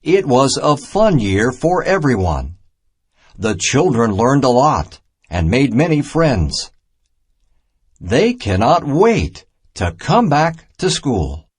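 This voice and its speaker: A man narrating a simple children's math storybook as a scripted, instructional read-aloud, in deliberate, separated phrases. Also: medium pitch, sing-song, husky.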